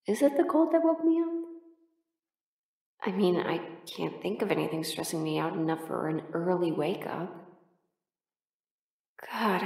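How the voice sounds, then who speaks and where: A woman acting a sleepy, affectionate partner in an intimate audio roleplay, muttering softly with whispers and sighs. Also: mid-range voice, creaky, reverberant, recorded from a distance. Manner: soft, drowsy, muttering to herself with whispers and sighs. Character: sleepy affectionate partner awake in cold bed, playfully grumbling.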